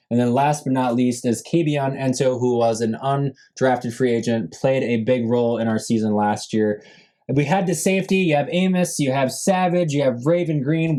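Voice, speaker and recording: A man's voice: medium pitch, mellow. A man hosting a solo sports podcast, running through a team roster in a casual, upbeat way and saying he is losing his voice. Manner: upbeat, lists names with rising emphasis, frequent fillers. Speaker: podcast host.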